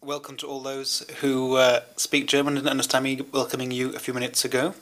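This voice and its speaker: Bright-voiced male conference interpreter. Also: mid-range voice, monotone. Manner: measured, hesitant phrasing with pauses. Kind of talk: simultaneous interpretation of a speech.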